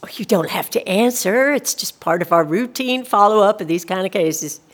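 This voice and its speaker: A woman's voice: mid-range voice, expressive, normal speed, close to an everyday voice. An actress plays a female social worker in a radio play. She speaks with careful politeness and an officious edge. Her manner is evasive and soothing, yet she keeps pressing. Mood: politely probing. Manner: politely insistent, bureaucratic, soothing reassurances. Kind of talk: scripted audio drama dialogue.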